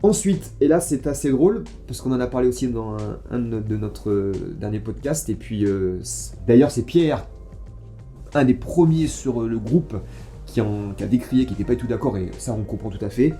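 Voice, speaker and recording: A man's voice: mid-range voice, normal speed, soft background music. A French man co-hosting a casual podcast chat, talking with enthusiasm and frequent restarts. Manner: enthusiastic, animated, many restarts. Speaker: podcast co-host. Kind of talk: casual chat about cigars.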